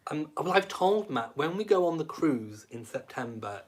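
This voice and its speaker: A man's voice: a little high, sing-song, moderate pace. A man, hosting a live stream and reading out viewer comments in a cheeky, self-mocking way. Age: late 30s. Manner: cheeky, camp, self-mocking humour with frequent laughs. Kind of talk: casual live stream chat.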